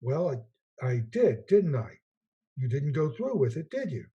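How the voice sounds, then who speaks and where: A soft-toned, husky guardian angel acted by a man in an old-fashioned radio play. He is rather high for a man, naive and eager, speaking with earnest, quaint politeness. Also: very animated, a little slow, lo-fi sound, full character voice. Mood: earnest, flustered. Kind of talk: 1940s-style radio play acting.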